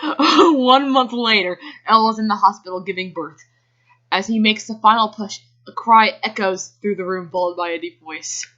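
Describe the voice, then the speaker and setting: A woman reads fan fiction aloud in an amateur dramatic style, with casual asides. Her voice sits at a middling pitch. The home recording is slightly dull and lo-fi. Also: normal speed, pushed and bright. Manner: giggly, often breaks off to react. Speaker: reader.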